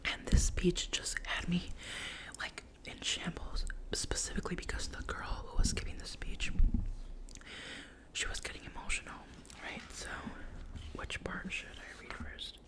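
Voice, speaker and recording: A woman's voice: unhurried, low-quality recording. A young woman whispering a rambling personal story, full of "like" and self-corrections, in the style of an ASMR storytime. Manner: soft whisper throughout. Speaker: vlogger.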